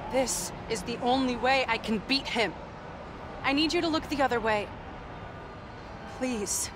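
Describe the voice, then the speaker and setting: A woman's voice: on the high side, a little slow, noisy background, close to an everyday voice. A young American woman playing a hurt, stubborn avenger in a game cutscene, speaking in a blunt, intense way. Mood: pleading, resolute. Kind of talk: video game cutscene acting. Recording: music behind the voice.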